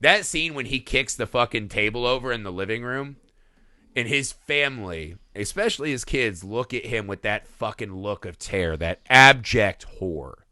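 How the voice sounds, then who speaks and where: A middle-aged man talks film on a podcast in a sharp, tense tone with heavy swearing. He slips into quick put-on voices when he retells scenes. The sound is close and dry, with no room around it. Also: medium pitch, no room sound. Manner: profane, animated, acts out scenes in voices. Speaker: podcast co-host. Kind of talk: casual film discussion.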